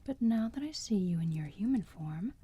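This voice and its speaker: A woman playing a motherly, teasing vampire who soothes a wounded enemy in a slow, breathy whisper, in an ASMR roleplay. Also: medium pitch, animated, warm tone. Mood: musing. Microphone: close microphone.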